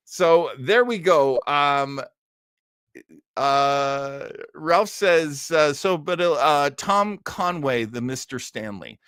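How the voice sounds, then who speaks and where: A man's voice: medium pitch, fairly bright tone, no room sound, clean studio sound. A man hosting a live stream in a casual, chatty way, moving between reading aloud and wry asides of his own. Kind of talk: casual live commentary on old-time radio, reading an article aloud.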